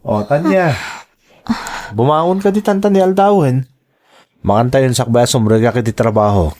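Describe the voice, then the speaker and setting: An actor with an Ilocano accent playing a dutiful, caring young husband in a radio drama. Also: male voice, mid-range voice, normal speed, darkish tone, natural voice. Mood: concerned.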